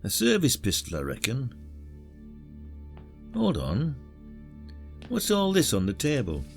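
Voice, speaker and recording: A man's voice: medium pitch, huge pitch swings, takes it slowly, creaky, faint music behind, full character voice. An actor playing a weary, blunt older retired detective in an audio drama, brooding and old-fashioned in his wording. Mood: dogged, wary.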